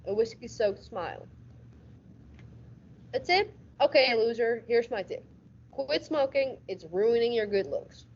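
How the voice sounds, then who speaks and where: A woman's voice: fairly high pitch, expressive, a little slow, pauses often. Muffled, hardboiled detective played by a young woman. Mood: tough, demanding. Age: teens. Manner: stumbles and repeats words while reading. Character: hard-nosed, wisecracking male police detective. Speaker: school radio drama actor. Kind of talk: hardboiled detective radio-play narration. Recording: dull audio with faint noise.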